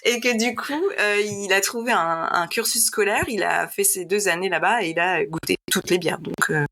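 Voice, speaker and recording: Female interview guest, early forties, from northern France, mid-pitched voice, casual and chatty personal storytelling. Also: moderate pace, a bit bright. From Lille, northern France. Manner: chatty, drops in English phrases. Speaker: podcast guest, paediatric nurse.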